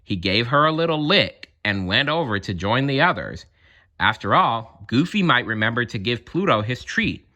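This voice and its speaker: A man narrates a children's storybook with great expression. His mid-pitched voice is bright and forceful, and it rises and falls very widely as he reads. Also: sing-song, normal speed. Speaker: storybook reader. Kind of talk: animated children's read-aloud narration.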